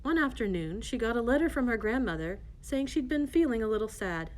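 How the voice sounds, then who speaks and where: A woman's voice: a little high, animated, fairly quick, darkish tone. A woman narrating a scripted fairy tale for children as the storyteller. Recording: faint background noise.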